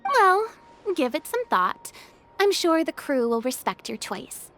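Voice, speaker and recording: An actress playing a lively, encouraging adult woman with an upbeat manner. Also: high-pitched, a little slow, full character voice. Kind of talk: video game voice acting. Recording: game audio with music.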